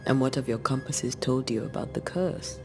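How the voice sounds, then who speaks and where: A man's voice: mid-range voice, normal speed, darkish tone, soft background music, close to an everyday voice. A man playing a blunt, weary, wry ghost hunter in a video game, with terse, dry delivery. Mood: dry, skeptical. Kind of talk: dramatic video game cutscene acting.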